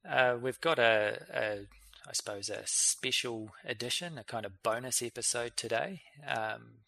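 A man's voice: mid-range voice, unhurried, vocal fry, sharp tone, dry recording. A man hosting a vet podcast, chatting casually with many fillers and restarts. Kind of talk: casual interview chat.

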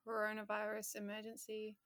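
A woman, a healthcare worker telling her story in a casual, animated interview and acting out her own earlier remarks. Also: medium pitch, a little fast.

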